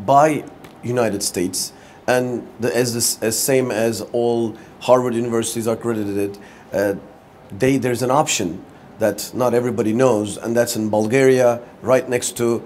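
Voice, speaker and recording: A man's voice: mid-range voice, steady tone, measured pace, a little mellow. A man with a Turkish accent, a native Turkish speaker, hosting a conversational interview. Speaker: interview show host. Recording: clear background ambient noise.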